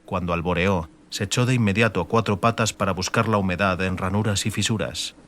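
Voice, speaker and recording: A man reading scripted dramatic audiobook narration in a measured, literary manner. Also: low-pitched, fairly even intonation, fairly bright tone. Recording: faint ambient noise behind.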